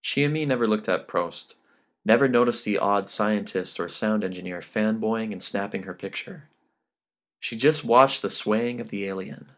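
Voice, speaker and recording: A man's voice: on the low side, normal speed. A man reading a short story as an audiobook narrator, in scripted literary narration.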